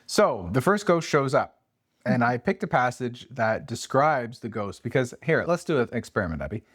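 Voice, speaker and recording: A man's voice: mid-range voice, sing-song, moderate pace, slightly rough, no echo. Man chatting and reading, close dry sound. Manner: joking asides, playful. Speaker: podcast co-host reading a classic aloud. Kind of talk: casual book chat with dramatic read-aloud passages.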